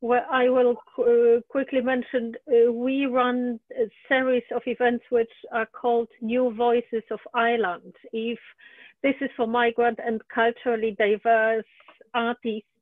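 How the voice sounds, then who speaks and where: A woman host speaks on a video call, describing events in a steady, informative way with small hesitations. Her voice is slightly high and quite flat. The sound is dry, thin and phone-like. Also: measured pace, no room sound.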